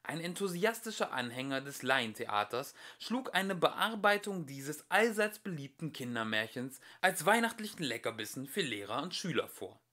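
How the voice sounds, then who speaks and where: Bright, expressive man reading a storybook. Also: a little high, normal speed, hardly any pauses. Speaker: amateur audiobook reader. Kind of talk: scripted storybook narration, reading aloud.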